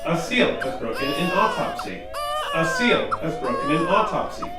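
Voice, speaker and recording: A woman's voice: deep voice, animated, normal speed, distant mic, heavy background noise. A woman voicing an impassive station computer repeating alerts in an amateur radio play. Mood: flat alarm. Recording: heavy echo, loud background sound.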